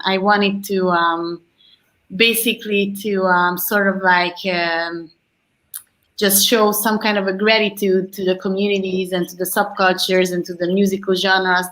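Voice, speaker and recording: A woman's voice: mid-range voice, a bit bright, poor audio. A woman from Hungary, a documentary filmmaker chatting loosely and searching for words as she answers on a video call. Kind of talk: panel Q&A answer.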